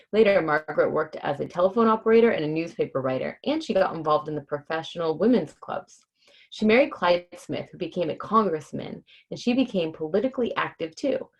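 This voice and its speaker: A woman hosting a casual livestream read-aloud for children, talking informally and keeping things simple for young listeners. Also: fairly low pitch. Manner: informal, explains hard words simply for children. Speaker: host reading a children's book on a livestream.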